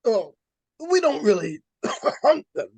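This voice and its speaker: A solemn, pedantic psychic investigator acted by a man in an old-time radio play. The voice is high and swoops expressively, slowly and precisely, in a dry, close recording. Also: very animated, a little mellow, slightly rough, no room sound, full character voice. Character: earnest, pedantic doctor, expert in psychic phenomena. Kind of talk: 1940s radio drama acting.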